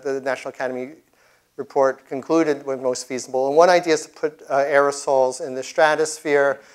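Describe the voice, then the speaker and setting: A man's voice: mid-range voice, clean tone. A middle-aged man giving an informal science lecture with slides, often restarting his sentences as he explains. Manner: halting, frequently restarting sentences. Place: indoor lecture room, at a podium.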